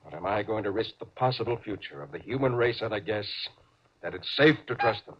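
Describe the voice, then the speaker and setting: An actor playing a suspicious, domineering spaceship captain in a 1950s radio drama, sardonic and commanding. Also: male voice, lowish voice, lively intonation, a little fast, breathy edge. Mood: brooding, sardonic. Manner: sardonic, domineering, clipped orders. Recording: noisy, dull 1950s radio recording.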